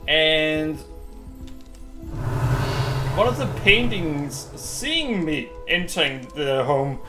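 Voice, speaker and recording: A man doing casual let's-play commentary as a gaming streamer, rambling and thinking out loud. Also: fairly high pitch, big pitch swings, drawn-out words. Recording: game music behind the voice. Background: video game music.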